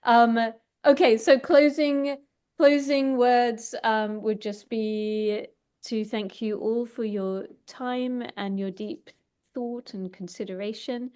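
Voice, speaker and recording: A woman's voice: fairly high pitch, fairly even intonation, takes it slowly, darkish tone, smooth. Hesitant woman moderating a discussion. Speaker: host and moderator of an online talk. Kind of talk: thoughtful informal moderating.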